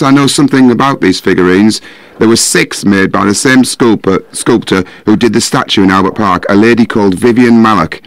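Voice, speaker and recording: A man's voice: fairly low pitch, no echo, lightly acted, rough recording. A man playing a knowledgeable, matter-of-fact football fanzine guest on a podcast in an audio drama.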